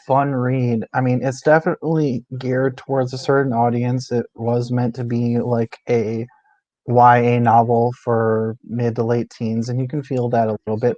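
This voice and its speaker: Even-toned man on a dull, dry recording. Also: medium pitch, dry recording. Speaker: co-host of a book discussion stream. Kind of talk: casual book chat. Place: indoors, live stream.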